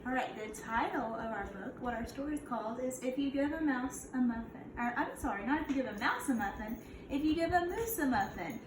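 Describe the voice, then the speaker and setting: A woman's voice: high voice, lively intonation, a little fast. A woman, a kindergarten teacher, reads a picture book aloud to young children in an instructive way, prompting them with questions. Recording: echoey room, clear background noise.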